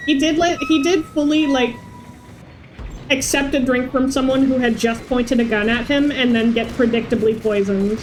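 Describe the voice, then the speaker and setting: A woman's voice: high voice, steady tone, moderate pace, a little hiss or hum, low-quality recording. A woman chatting casually and wryly while she plays through a video game on stream. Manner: playful, wry. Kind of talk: casual playthrough chat.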